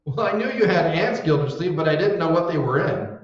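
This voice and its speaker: An actor playing a folksy, wisecracking husband working as a poll clerk in an old-time radio comedy. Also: male voice, on the high side, expressive, brisk, lightly acted. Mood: exasperated. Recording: echoey room, distant mic, dull sound.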